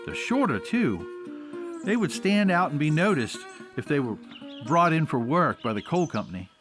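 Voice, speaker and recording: A man's voice: on the high side, big pitch swings, normal speed, over music. An American man acting in a radio drama as an eager, respectful telegraph office worker. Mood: eager, observant.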